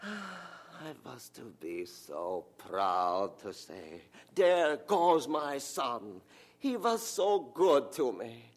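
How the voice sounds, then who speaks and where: A man's voice: mid-range voice, big pitch swings, extremely slow, heavily acted. An actor playing a stern, stubborn old German father in a radio drama, self-pitying and emphatic, with a German accent and inverted phrasing. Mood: wounded, self-pitying. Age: older. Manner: emphatic, guilt-tripping, inverted foreign-sounding phrasing.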